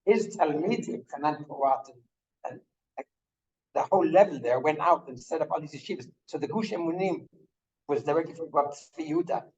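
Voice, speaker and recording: A man's voice: fairly high pitch, brisk, a little mellow. Muffled man commenting from the class. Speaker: class participant. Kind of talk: comment from the audience.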